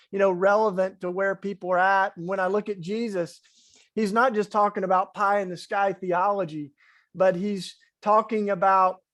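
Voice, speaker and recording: A man with an East Tennessee accent, speaking earnestly and conversationally as a panelist in an online talk. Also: high voice. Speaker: webinar panelist, faith organizer.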